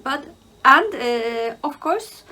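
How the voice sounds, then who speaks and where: A middle-aged woman from Turkey with a Turkish accent, hosting her book channel and talking in a halting way, with many fillers between phrases. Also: high-pitched, expressive, bright, forceful tone. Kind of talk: casual monologue to camera.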